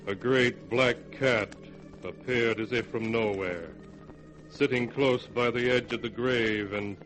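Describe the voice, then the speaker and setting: A man narrating a scripted 1940s radio horror anthology in a theatrical, ominous manner with deliberate phrasing. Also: fairly low pitch, a bit bright. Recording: noisy vintage 1940s radio recording.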